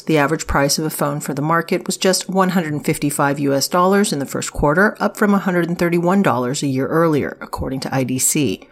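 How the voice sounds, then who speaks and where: A woman reading scripted business articles aloud for a news podcast, in a formal, informative delivery. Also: sits low.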